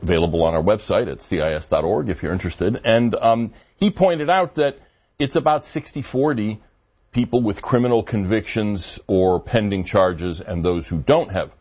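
A man with a low, somewhat husky voice answers interview questions in a measured, argumentative way, often pausing on fillers. The audio sounds dull and lo-fi. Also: a little mellow. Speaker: immigration policy guest.

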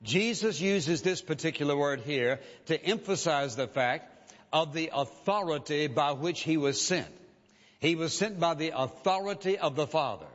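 A man preaching a sermon as a pastor, measured and emphatic, repeating key phrases for weight. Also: a little high, expressive. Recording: dull lo-fi sound with long hall reverb.

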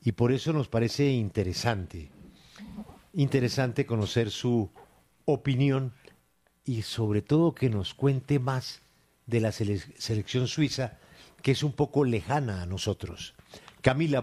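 Colombian male radio host, mid pitch. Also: unhurried, darkish tone. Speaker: radio news host and interviewer. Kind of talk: live news radio interviewing.

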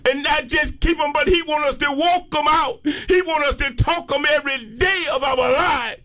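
A man's voice: very high-pitched, normal speed, sharp tone. A man with an American accent preaching a fervent sermon, often breaking off to exclaim praise in an impassioned way. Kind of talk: fervent sermon with call and response.

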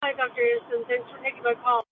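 A woman's voice: a little high, little pitch movement, quick pace. A woman calling into a radio show, speaking emphatically and insistently. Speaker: caller. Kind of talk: phone-in commentary. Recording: tinny cutting-out phone line with faint noise.

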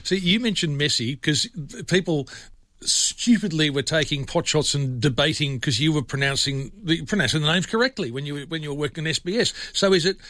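Rambling man, slightly high voice. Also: a little fast, fairly bright tone, no room sound. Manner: rambling, repeats words. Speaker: radio host. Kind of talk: casual radio chat.

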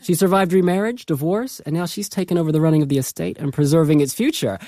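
A man's voice: fairly high pitch, quick pace, mellow, no echo, clean studio sound. A man in a casual arts chat, chatty and enthusiastic, laughing easily.

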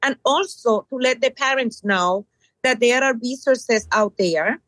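A middle-aged woman from Puerto Rico, a bilingual middle school teacher, answering interview questions earnestly with many pauses and ums. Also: fairly high pitch, a bit bright, no room sound, low-quality recording.

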